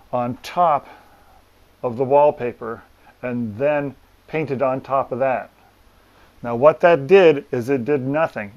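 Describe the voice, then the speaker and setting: A man presenting a repair walkthrough inside a house, explaining things in a plain, practical way with deliberate pauses. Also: mid-range voice. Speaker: plaster repair business owner and presenter. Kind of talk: hands-on walkthrough explanation to camera. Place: room inside an old house.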